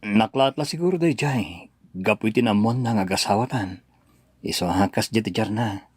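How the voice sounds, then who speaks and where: An actor with a Filipino accent playing an earnest, devoted young husband in an Ilocano radio drama, with a pleading, melodramatic delivery. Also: male voice, on the low side, normal speed, a little mellow, husky, no room sound, clean studio sound. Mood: tender, hurt.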